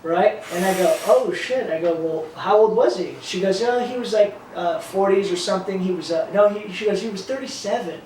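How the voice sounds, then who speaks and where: A man in his thirties tells stories with a lot of energy, slipping into different voices to act out what others said. His voice sits rather high, and it sounds distant in an echoey room with faint background noise. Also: fairly quick, few pauses. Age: 30s. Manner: animated storyteller, acts out quotes. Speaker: podcast host. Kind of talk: casual chat.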